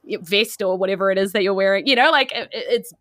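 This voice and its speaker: A woman's voice: mid-range voice, fast, fairly bright tone, no echo, studio quality. A woman with a New Zealand accent, improvising scene descriptions as game master in a loose, conversational way. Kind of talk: improvised tabletop game narration.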